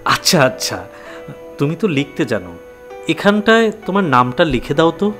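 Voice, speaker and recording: A gentle young educated husband in a radio play. His voice is a little high and moves in lively swings as he patiently questions, with soft music underneath. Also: normal speed. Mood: gentle, probing. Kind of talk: Bengali audio drama acting.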